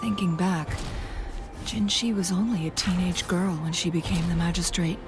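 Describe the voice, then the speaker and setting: An actress gives voice to a thoughtful young woman who travels beside the hero. Her voice sits on the low side and she talks in a measured, musing way, often dropping into a soft hush. Game music plays clearly behind her. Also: steady tone, natural voice. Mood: reflective. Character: thoughtful young woman companion, earnest, curious. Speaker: game companion character. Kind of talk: scripted game dialogue.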